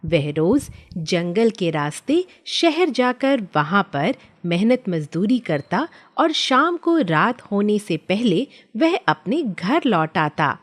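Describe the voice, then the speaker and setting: A woman reading scripted children's story narration. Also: mid-range voice.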